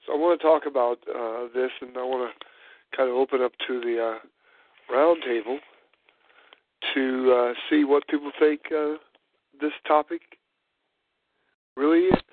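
A hoarse, congested man hosting a church call-in roundtable, speaking haltingly with many fillers. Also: medium pitch, fairly even intonation. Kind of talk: hesitant spoken discussion. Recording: dry tinny phone line.

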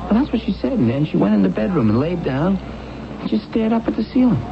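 A man's voice: high voice, very animated, brisk, gravelly, noisy background, full character voice. An actor playing an anxious, bewildered husband in a radio drama, hesitant and pressing with his questions. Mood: anxious, insistent. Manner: hesitant, stammering, insistent questioning. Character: anxious bewildered husband at his sick wife's hospital bedside. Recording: muffled old radio broadcast, music behind.

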